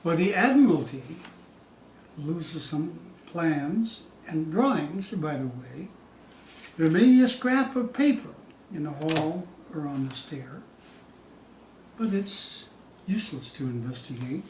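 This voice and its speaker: A man giving a theatrical poetry recital, dramatic and suspenseful, with drawn-out phrases moving between hushed dips and loud peaks. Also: fairly high pitch, animated. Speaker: poetry reader. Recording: distant, echoey room with faint hiss.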